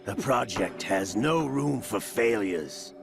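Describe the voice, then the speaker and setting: An actor playing a smug, cruel older gunslinger villain in a video game, gravelly, theatrical and condescending, with drawn-out emphasis. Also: male voice, lowish voice, animated, exaggerated voice. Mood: smug, expository. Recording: loud dramatic score behind. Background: cinematic game music.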